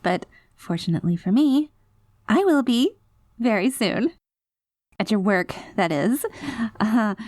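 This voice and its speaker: An obsessive girlfriend from an audio roleplay, acted by a woman, with a medium-pitched female voice. She is sugary and overly polite, with menace and panic held just under the surface. The sound is dry, with no room around it. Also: darkish tone, dry recording. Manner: saccharine pet names, pointed over-polite phrasing, veiled threats. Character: obsessive reformed yandere girlfriend, sweetly menacing, barely holding back panic.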